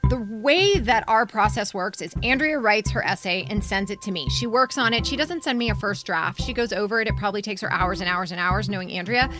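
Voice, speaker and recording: A woman's voice: medium pitch, brisk, hardly any pauses, music in the background. A woman podcast co-host and editor talking conversationally, with a candid, casually profane manner. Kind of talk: conversational podcast introduction and commentary.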